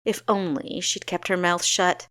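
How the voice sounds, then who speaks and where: A woman reading a scripted fantasy story as an audiobook narrator, in a measured, expressive storytelling way. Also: fairly low pitch, no echo, clean studio sound.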